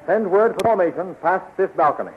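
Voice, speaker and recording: A man's voice: sits high, expressive, brisk. A gallant, eager hero from a 1930s radio serial. His formal, declamatory speech rises and falls vividly. The old recording is very thin and narrow, with faint hiss. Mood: earnest, commanding. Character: gallant young heroic commander, earnest and eager.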